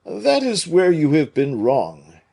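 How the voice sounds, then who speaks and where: Guy doing a big naive blustery nobleman, pitched way up, loud n swoopy, kinda husky. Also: huge pitch swings, slow pace, exaggerated voice. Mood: reproachful. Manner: loud, emphatic, indignant exclamations.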